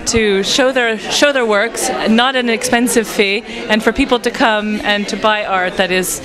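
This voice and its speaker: A woman's voice: fairly high pitch, fairly even intonation, measured pace, crowd noise. A woman organizing an art fair, answering interview questions with frequent hesitations and fillers. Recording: music playing underneath.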